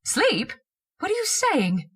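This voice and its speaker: An actress playing a fearful, domineering, nagging wife in a radio horror drama, agitated and demanding. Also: female voice, very high-pitched, wildly swooping pitch, takes it slowly, fairly bright tone, full character voice. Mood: incredulous. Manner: demanding, agitated, repeating questions. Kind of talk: old-time radio horror drama acting.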